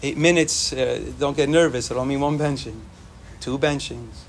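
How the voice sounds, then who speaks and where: A young man whose first language is Yiddish, giving an informal, animated Torah talk to students and repeating phrases for emphasis. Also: medium pitch, moderate pace, a little hiss or hum. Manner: animated, repetitive, sprinkles Hebrew and Yiddish terms.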